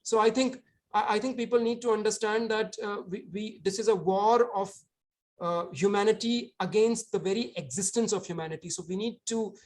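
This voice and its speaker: A man's voice: sits high, fairly even intonation, normal speed. A man, an author, answering audience questions at an online book talk, earnest and explanatory, often repeating words as he thinks.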